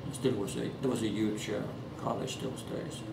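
An elderly man in his eighties from Norway, speaking English with a Norwegian accent in an oral history interview at home, halting with many fillers and restarts. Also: lowish voice, level tone, gravelly. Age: 80s. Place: living room at home. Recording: echoey room, distant mic, background hiss.

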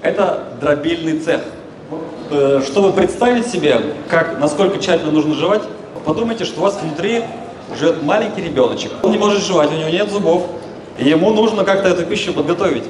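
A man's voice: on the high side, fairly quick, a crowd in the background. A man giving an informal, explanatory health lecture from a stage, talking colloquially and putting questions to his listeners. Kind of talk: informal public lecture to an audience. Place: stage in a large exhibition hall, recorded from the audience. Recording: echoey, noisy expo hall through a PA.

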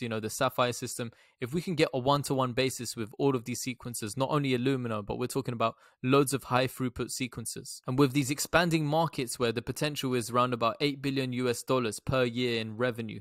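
A man's voice: mid-range voice, fairly bright tone, no room sound. A young man giving informal explanatory commentary as a stock analysis presenter, recorded close to a desktop microphone. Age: young adult.

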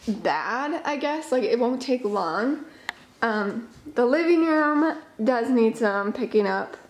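Woman in her twenties, higher-pitched voice, casual rambling chat, self-deprecating, roomy kitchen echo. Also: fairly bright tone, a little hiss or hum. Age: 20s. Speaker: homemaking vlogger and mother. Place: home kitchen, indoors. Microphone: camera microphone, about arm's length or further. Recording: echoey open kitchen room.

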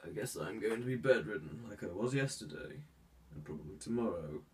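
A man's voice: lowish voice, expressive, a little fast, recorded from a distance. A man voicing a haughty, sick young heir in an ASMR roleplay, formal and hesitant with fillers, stuffy with a cold and sneezing.

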